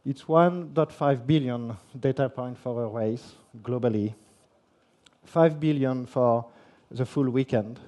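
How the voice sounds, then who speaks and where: A man's voice: mid-range voice, warm tone. French-accented man giving a tech talk. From France. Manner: halting, many fillers and restarts. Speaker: conference speaker. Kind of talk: technical conference presentation. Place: conference hall.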